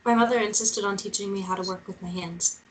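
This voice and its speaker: A mid-pitched, earnest young-spirited female journalist acted by a woman in a drama read-through, with faint noise behind her. She is stubborn and persistent. Her delivery is direct and determined. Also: steady tone, a little fast, close to an everyday voice, poor audio. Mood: subdued.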